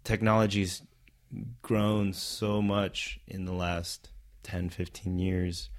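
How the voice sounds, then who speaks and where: A man's voice: deep voice, level tone, slow. A man who works as a stunt performer, whose first language is Vietnamese, talking about a film in a casual chat. He speaks animatedly, laughs often and acts out quotes.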